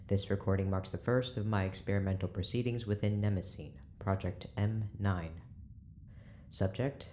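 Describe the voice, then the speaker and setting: A man's voice: on the low side, mellow, a little hiss or hum, lightly acted. A man playing a cold, officious prison researcher and doctor in an audio drama, with clipped, formal, curt delivery. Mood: clinical, detached.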